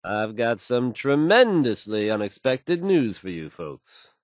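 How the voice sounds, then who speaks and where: A man's voice: mid-range voice, big pitch swings, takes it slowly, no room sound, studio quality. A man playing a self-assured radio newscaster in a video game, fluent and folksy as he announces the news. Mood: newsy, confident. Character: confident, smooth, assured male radio newscaster.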